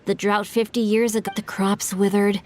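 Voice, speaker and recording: A woman's voice: medium pitch, level tone, normal speed, natural voice. A woman voicing an earnest, thoughtful young storyteller in a video game, explaining things helpfully. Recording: music behind the voice.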